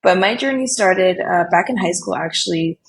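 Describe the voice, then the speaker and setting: A woman's voice: lowish voice, moderate pace, vocal fry, a little hiss or hum. A young woman from California talking casually as a podcast guest, with lots of "like" and "you know". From Colombia, raised in California Bay Area. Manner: chatty, frequent "like" and "you know". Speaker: podcast guest, developer relations lead. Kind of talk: casual interview chat.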